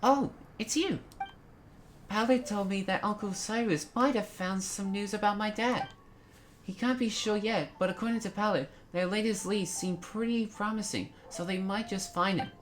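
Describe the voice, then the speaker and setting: A woman's voice: medium pitch, normal speed, a little hiss or hum, natural voice. A woman voicing a young boy in a video game, childlike, hopeful and pleading, anxious about family. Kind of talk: video game character acting.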